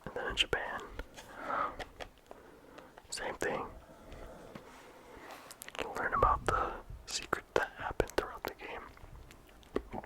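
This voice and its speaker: A game reviewer talking in a soft ASMR whisper throughout, casual and rambling with many "like" fillers. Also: takes it slowly, pauses often, poor audio.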